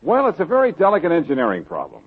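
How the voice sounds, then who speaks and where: A man's voice: a little high, huge pitch swings, rapid-fire, husky, exaggerated voice. An actor playing a wisecracking male private detective, wry and jokey, in an old radio drama. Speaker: lead actor. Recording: tinny old radio broadcast with hiss.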